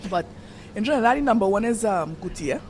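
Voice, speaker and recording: A woman co-hosting a casual podcast, explaining with many pauses and fillers. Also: medium pitch, expressive, darkish tone, slight background noise. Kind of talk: casual chat.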